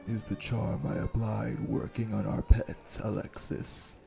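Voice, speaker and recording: A man's voice: fairly low pitch, level tone, unhurried, creaky, over music. A man playing a cold, domineering blood-magic villain in an audio drama, clipped and imperious. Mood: sinister. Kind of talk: audio roleplay drama acting.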